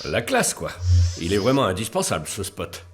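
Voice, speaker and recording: A man's voice: lowish voice, huge pitch swings, normal speed, a bit bright, faint music behind. A man voicing a grumpy, sarcastic, slightly jealous machine sidekick in an educational cartoon, with a sardonic, colloquial delivery. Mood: mock impressed. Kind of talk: scripted educational cartoon dialogue.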